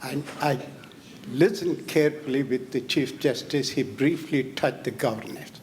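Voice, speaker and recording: A man's voice: mid-range voice, moderate pace, darkish tone, a little hiss or hum. Insistent man questioning at a press event. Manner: insistent, piles up details. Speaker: audience member asking a question.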